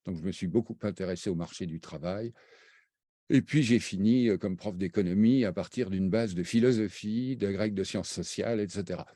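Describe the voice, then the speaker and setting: Older male interview guest, deep mellow voice, halting and reflective, dry close sound. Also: no room sound. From raised half in Brazil, half in France. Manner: halting, many fillers and restarts. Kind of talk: hesitant spoken recollection.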